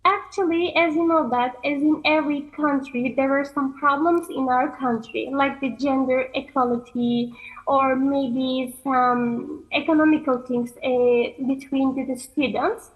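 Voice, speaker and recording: Lady english teacher, high voice, careful halting answers. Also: steady tone, phone-quality sound. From Turkey. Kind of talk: interview answers.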